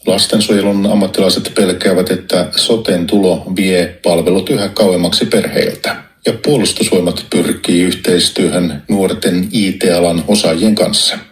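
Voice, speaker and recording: A man reads news headlines in a formal, measured way. His voice sits low. The clip sounds roomy, with faint music underneath. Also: fairly even intonation. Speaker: newsreader. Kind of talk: news reading.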